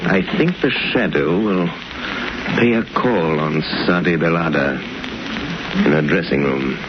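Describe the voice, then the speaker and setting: A man playing a thoughtful, resolute gentleman sleuth in 1930s radio drama, musing and dramatic. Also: deep voice, animated, a little slow, background noise. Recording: dull 1930s radio recording, loud music.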